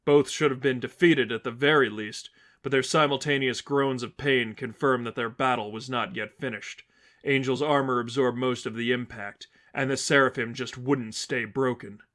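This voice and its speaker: A man's voice: mid-range voice, fairly even intonation, moderate pace, fairly bright tone. A man reading fan fiction aloud as dramatic scripted narration.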